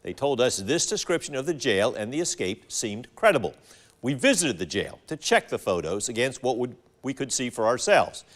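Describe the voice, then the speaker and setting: American male news anchor with lively intonation. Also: mid-range voice, moderate pace. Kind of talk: scripted local news broadcast delivery.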